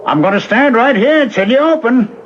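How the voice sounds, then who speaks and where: An actor in a 1970s radio drama playing an earnest former hobo, insistent and pleading in manner. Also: male voice, extremely high pitch, lively intonation, a little fast. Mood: insistent, urgent. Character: earnest, persistent working man, former hobo. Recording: dull, noisy old radio recording.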